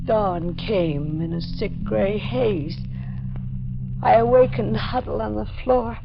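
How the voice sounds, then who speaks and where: A tormented wife in a dark vintage radio play. Her medium-pitched voice is intense and dramatic, speaking in clipped phrases. The old recording is dull, with clear music underneath. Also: takes it slowly. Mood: haunted, somber. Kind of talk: 1940s radio drama acting and narration.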